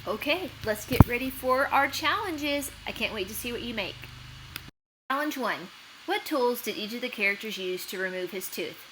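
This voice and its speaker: A woman narrating for children as an expressive read-aloud storyteller and host. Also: fairly high pitch, moderate pace, background noise. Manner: expressive, clearly addressed to children.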